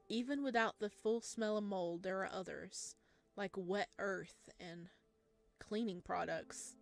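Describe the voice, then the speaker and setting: A woman playing a visual novel, reading its narration aloud and adding informal, giggly asides of her own. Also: mid-range voice. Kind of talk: game playthrough, reading aloud with chatty reactions. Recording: music behind the voice. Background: game music.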